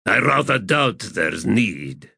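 A man reading aloud voices a tormented, bitter male priest who talks in a slow, sardonic drawl. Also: fairly low pitch, big pitch swings, creaky, sharp tone, breathy edge, no room sound, clean studio sound, heavily acted.

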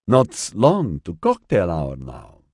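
A man voicing a gruff, cheery, weathered woman in her sixties with a northern Mediterranean accent in an audiobook. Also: low voice, wildly swooping pitch, takes it slowly, a little mellow, no echo, clean studio sound, heavily acted. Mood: breezy, reassuring.

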